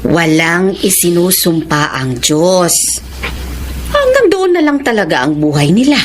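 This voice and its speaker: A woman's voice: lowish voice, big pitch swings, measured pace. An actress with a Filipino accent playing an older, pious mother who counsels firmly and solemnly in a radio drama. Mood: consoling, firm. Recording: noisy background ambience.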